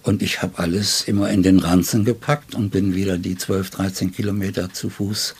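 An older man from northern Germany giving a reflective literary interview as a writer, thoughtful and halting, often ending on tag questions. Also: low voice, flat delivery, husky.

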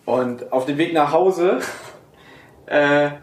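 A somewhat high-voiced man from Berlin banters on a casual podcast, chatty and quick to laugh as he teases his co-host. His voice rings in a noticeably echoey room. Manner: chatty, laughs often, teasing.

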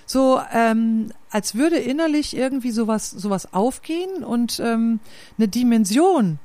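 A woman's voice: a little high, expressive, a little slow, mellow, no echo. A woman, hosting a casual conversation and thinking aloud, often searching for words with many fillers. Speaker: podcast host and singer.

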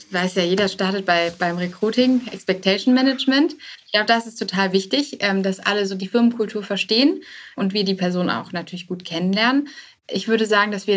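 A woman speaking as a podcast interview guest and start-up founder, thinking aloud and often correcting herself mid-sentence. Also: medium pitch.

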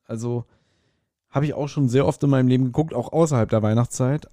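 A man's voice: mid-range voice, fairly quick, dark tone, no room sound, clean studio sound. A man co-hosting a podcast in casual chat, talking enthusiastically and rambling as he repeats phrases. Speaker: podcast co-host.

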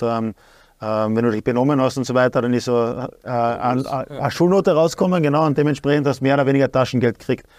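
An Austrian man in his thirties talks as a guest, stopping often with fillers and starting his sentences over. His pitch stays flat and even. The sound is close and dry. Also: dry recording. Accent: Austrian German. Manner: hesitant, many fillers and restarts. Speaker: guest, sporting director. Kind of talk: casual talk show chat.

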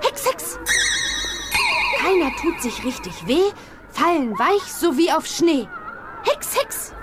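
A woman playing a lively young witch girl chanting spells in a children's audio drama. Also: very high-pitched, slow, heavily acted. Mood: excited, chanting. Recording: played-back audio drama with music.

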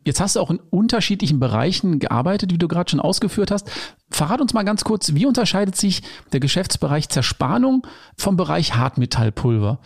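A man hosting a podcast conversation, asking his guest questions in an upbeat way. His voice sits fairly high for a man and carries a strong gravelly fry. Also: sing-song, fairly quick. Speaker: podcast host.

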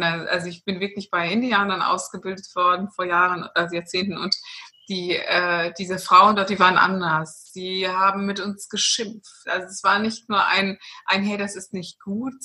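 A woman's voice: medium pitch, level tone, a little slow, sharp tone. A woman talking earnestly about spirituality in an interview, with many fillers and restarts.

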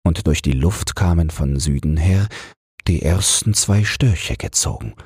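Very deep, husky male bedtime storyteller. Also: darkish tone, dry recording, studio quality. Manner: measured, soothing storytelling. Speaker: audiobook reader. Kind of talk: slow bedtime fairy tale narration.

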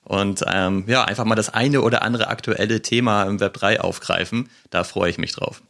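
A man, co-hosting a casual podcast and reading the intro and disclaimer in an upbeat, relaxed way. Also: lowish voice, moderate pace, creaky. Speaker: podcast co-host.

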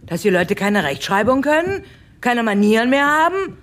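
A woman's voice: a little high, big pitch swings, normal speed. An actress playing a stubborn, sarcastic middle-aged customer service woman in an audio drama. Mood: indignant. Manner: indignant, sarcastic.

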